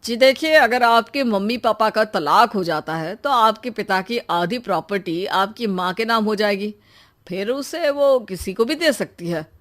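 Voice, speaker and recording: A woman's voice: mid-range voice, expressive, unhurried, fairly bright tone. A woman playing a polite lawyer in a story, explaining things in a matter-of-fact manner.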